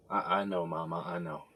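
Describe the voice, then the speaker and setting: An actor playing a grown son who is in jail and prays for help, in an earnest, halting way with sighs. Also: male voice, deep voice, sharp tone, husky, no echo, exaggerated voice. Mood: resigned. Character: adult son jailed, praying for release. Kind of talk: audio drama acting. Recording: music under the voice.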